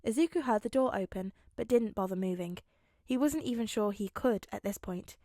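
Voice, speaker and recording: A woman's voice: medium pitch, normal speed, warm tone, no room sound, studio quality. A woman reading fiction aloud and chatting casually to listeners as the host of her channel. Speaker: podfic reader and channel host. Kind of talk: expressive fiction narration and casual chat to listeners.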